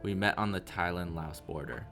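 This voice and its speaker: A man's voice: deep voice, fairly even intonation, normal speed, music in the background. A man reading scripted documentary narration as the interviewer.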